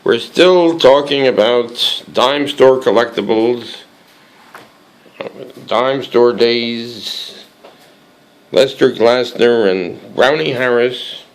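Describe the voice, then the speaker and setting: A hoarse man with a cold hosting a casual show-and-tell, reading aloud from a book with many halting restarts and fillers. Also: medium pitch, poor audio. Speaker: collectibles channel host.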